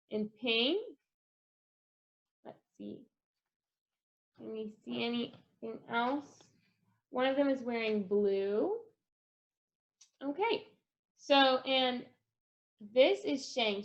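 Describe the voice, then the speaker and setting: A woman presenting a casual step-by-step craft tutorial for children, speaking in an instructional way with pauses between phrases. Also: on the high side, highs cut off. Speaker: craft presenter for a children's read-aloud project.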